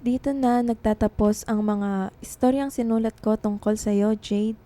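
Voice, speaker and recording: A woman playing a soft, loving young writer in grief in a radio drama. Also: a little high, fairly even intonation, a little slow, close to an everyday voice. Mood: sorrowful, loving. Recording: music behind the voice.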